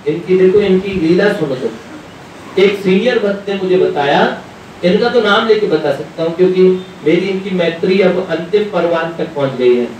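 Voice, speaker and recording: Higher-voiced man preaching in an echoey room. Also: normal speed, darkish tone. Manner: conversational, rambling storyteller, often quoting dialogue. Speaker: spiritual teacher addressing devotees. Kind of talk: informal devotional discourse with anecdotes. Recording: very echoey room, distant mic, rumbling noise.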